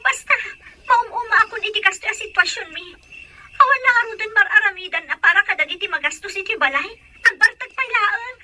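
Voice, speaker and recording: A woman's voice: extremely high pitch, brisk, bright, forceful tone, slight background noise, full character voice. A woman with a Filipino accent playing a distressed, fed-up young wife, tearful, in a radio soap drama.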